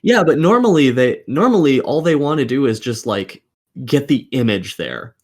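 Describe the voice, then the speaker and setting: A man's voice: medium pitch, very animated, normal speed. A man co-hosting a casual gaming podcast, explaining his opinions at length and swearing casually. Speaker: podcast co-host.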